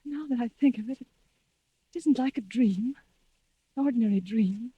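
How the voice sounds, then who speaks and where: Scared haunted wife, high voice, breathless n halting, old time radio melodrama, very dry sound. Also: female voice, husky, no echo, narrow, tinny audio. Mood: haunted, dazed. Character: frightened married woman haunted by a recurring nightmare. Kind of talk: 1940s radio drama acting. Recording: old 1940s radio recording.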